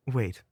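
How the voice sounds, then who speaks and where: A man playing a formal, cautious hunter in an audio roleplay, earnest, measured and deliberate. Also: darkish tone, dry recording, clean studio sound.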